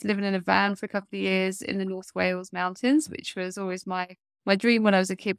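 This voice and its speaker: A woman's voice: mid-range voice, gravelly, dry recording. A woman in her forties, a coach speaking as an interview guest, talking earnestly with a blocked-up nose and many fillers. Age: 40s. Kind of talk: casual interview chat.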